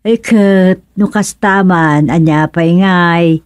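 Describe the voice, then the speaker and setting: A woman's voice: on the low side, slow, clear, resonant tone, dry recording. A woman with an Ilocano accent playing a frank, knowing middle-aged village wife and mother in a radio comedy-drama. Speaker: radio serial actor.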